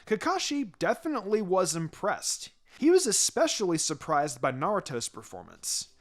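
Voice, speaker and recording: A man's voice: high voice, wildly swooping pitch, normal speed. A man reading a story aloud as a narrator, in a scripted storytelling style. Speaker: fan fiction reader. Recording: music behind the voice.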